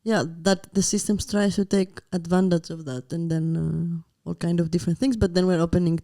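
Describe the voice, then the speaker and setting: A woman speaking as a guest on a live panel, talking in an animated way with many fillers and restarts. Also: on the low side, moderate pace. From Greece.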